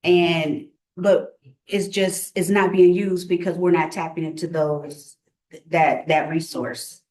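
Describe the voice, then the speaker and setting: A woman's voice: on the low side, fairly even intonation, slow, darkish tone, recorded from a distance. A woman presenting her business, answering audience questions with brief, polite replies. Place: event room. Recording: voice from away from the mic.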